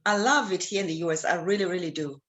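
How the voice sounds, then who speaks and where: A woman from Zimbabwe, an interview guest who answers earnestly and often pauses on fillers and restarts. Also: on the low side, fairly bright tone. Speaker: guest, clean water advocate.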